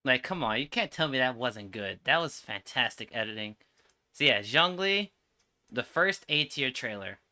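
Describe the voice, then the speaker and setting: A man's voice: medium pitch, expressive, moderate pace, bright, forceful tone. A man giving casual, opinionated reaction commentary as a streamer, with slangy, sweary speech. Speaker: streamer reacting to game trailers.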